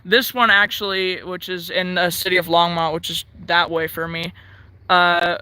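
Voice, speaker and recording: An American man giving a casual hobbyist demonstration, thinking aloud in a halting way, outdoors. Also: high voice, level tone, bright, forceful tone, smooth, low-quality recording. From United States. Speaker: radio hobbyist presenting. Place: outdoors in the sun.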